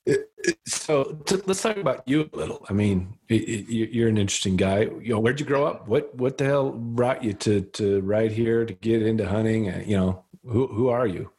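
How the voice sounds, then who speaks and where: A man's voice: lowish voice, moderate pace, darkish tone, slightly rough. A man hosting an interview, asking easygoing questions with stammered starts. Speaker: podcast host.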